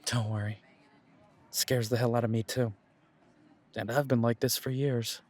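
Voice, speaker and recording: A man playing a protective, dutiful werewolf pack leader in an audio drama, speaking in an earnest, measured way with dry humour. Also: medium pitch, flat delivery, a little mellow, close to an everyday voice. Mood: reassuring. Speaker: voice actor in audio roleplay. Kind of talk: scripted audio roleplay drama.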